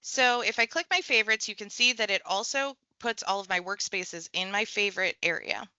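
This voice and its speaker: A woman walking through a software tutorial step by step, in a relaxed conversational way. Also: mid-range voice, a little fast, clean tone, no echo, highs cut off. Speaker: tech trainer. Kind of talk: screen-share software tutorial.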